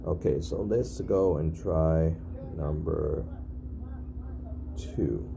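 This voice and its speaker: Very deep, gravelly man. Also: extremely slow, noisy background. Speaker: narrator documenting an intercom test. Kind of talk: casual on-site video demonstration. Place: at a building's entry intercom panel.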